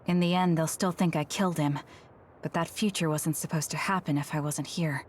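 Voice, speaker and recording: A woman's voice: deep voice, fairly even intonation, fairly quick, a little hiss or hum, close to an everyday voice. A woman playing a determined, anguished young psychic soldier in a dramatic video game, speaking with grave, introspective resolve. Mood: grim resolve.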